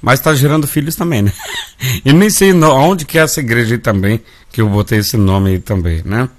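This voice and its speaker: A man's voice: mid-range voice, normal speed. A Brazilian man hosting a live gospel radio show, folksy and calling his listeners family. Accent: Brazilian Portuguese. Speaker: radio host.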